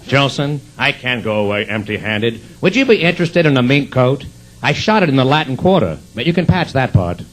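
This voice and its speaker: An actor playing a wisecracking huckster comedian in 1940s radio comedy style, with deadpan jokes and wry asides to the audience. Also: male voice, mid-range voice, brisk, lightly acted. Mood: brash huckster pitch. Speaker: stage actor in a comedy tribute show.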